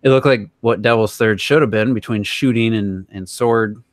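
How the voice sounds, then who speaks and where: Low-voiced man hosting a casual podcast. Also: normal speed, no room sound, clean studio sound. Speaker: podcast host. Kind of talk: casual gaming podcast discussion.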